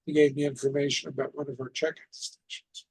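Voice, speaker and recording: A man giving an informal instructional presentation as a radio net host, explaining in a halting way with repeated phrases. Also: mid-range voice, level tone, creaky, a little mellow, breathy edge, no echo, poor audio.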